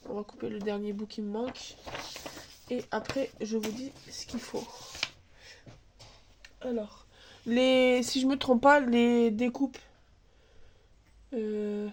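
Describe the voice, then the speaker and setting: Brief: woman host, cold-stuffed nose, slightly high voice, chatty craft demo, faint hiss behind. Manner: chatty, thinks aloud while working, filler words. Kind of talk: casual scrapbooking tutorial. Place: home craft table. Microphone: camera filming from above.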